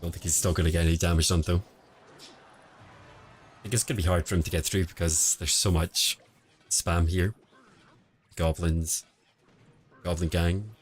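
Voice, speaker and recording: A man streaming casual commentary on a mobile game match, quiet and relaxed, answering chat between calls of the play. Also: a little slow, long pauses, slight background noise. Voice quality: quiet, low-level voice. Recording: home stream audio with faint music behind. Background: faint background music.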